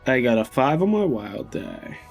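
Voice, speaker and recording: Tabletop player, male, medium pitch, casual conversational delivery, backed by music. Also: big pitch swings, moderate pace. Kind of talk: casual game-table talk. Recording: music under the voice. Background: background music.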